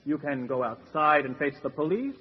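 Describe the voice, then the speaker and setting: A suave, menacing, formal recruiter, muffled. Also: male voice, fairly high pitch, very animated, moderate pace, breathy edge. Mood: smug menace. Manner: formal, wordy, coolly polite. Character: suave, menacing male recruiter of shanghaied sailors. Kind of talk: old-time radio horror drama acting. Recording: muffled, noisy radio drama recording.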